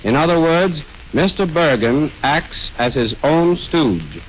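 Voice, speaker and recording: A man explains the act as a 1930s radio announcer, emphatic and somewhat high-pitched. Faint hiss sits behind his voice on this old recording. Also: lively intonation, takes it slowly, rough recording.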